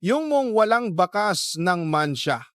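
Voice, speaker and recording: A man's voice: sits high, big pitch swings, slow pace, fairly bright tone, clean tone, dry recording, studio quality, heavily acted. Man doing a bossy elder sister. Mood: demanding. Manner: demanding. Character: bossy, condescending elder sister, a young woman.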